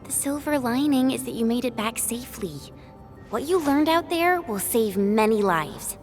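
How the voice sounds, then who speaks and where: Gentle, higher-voiced female companion played by a woman. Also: lively intonation, moderate pace, lightly acted. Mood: consoling. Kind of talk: video game voice acting. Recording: faint music behind the voice.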